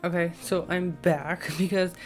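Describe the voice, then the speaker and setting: Low-voiced young woman, rambling casual game voiceover. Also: steady tone, unhurried. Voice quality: slightly scratchy. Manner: rambling, self-conscious, tentative. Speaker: gaming video creator. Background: soft plucked guitar music.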